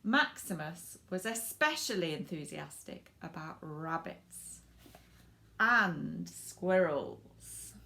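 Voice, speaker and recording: A woman reading her picture book aloud for children in an expressive, emphatic way, with asides to the young listeners. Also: on the low side, slow, creaky. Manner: addresses young listeners directly. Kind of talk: children's storybook read-aloud with asides to viewers.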